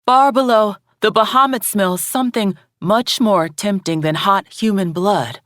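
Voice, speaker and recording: A woman's voice: medium pitch, fairly bright tone, dry recording, clean studio sound, voice pushed up, natural voice. Woman voicing a grave, commanding widow sorceress. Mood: intense. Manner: grave, deliberate, formal. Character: solemn, commanding widow sorceress, a loving mother.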